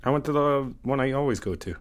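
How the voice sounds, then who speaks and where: A man playing an easygoing, flirty hotel guest in an audio roleplay, chatting casually and haltingly in one-sided phone talk. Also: medium pitch, close to an everyday voice. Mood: casual, weary. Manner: casual, halting, slangy, swearing.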